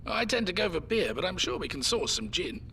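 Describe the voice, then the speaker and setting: Lively, creaky old grandfather. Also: high-pitched, a little fast, slight background noise, exaggerated voice. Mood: wry, amiable. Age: older. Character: wry, plucky old grandfather keen on fishing. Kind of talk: audio drama acting.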